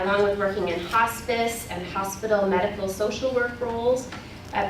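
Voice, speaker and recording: A woman moderating a symposium, reading out speaker introductions with a few fillers. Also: mid-range voice. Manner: warm, welcoming introductions with occasional fillers. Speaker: event moderator. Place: college symposium hall. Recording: echoey hall, mic far off, noisy.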